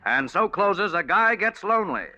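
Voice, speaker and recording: A man announcing in a formal, dramatic and deliberate 1940s radio style. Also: high-pitched, very animated, over loud music. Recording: dull vintage radio broadcast.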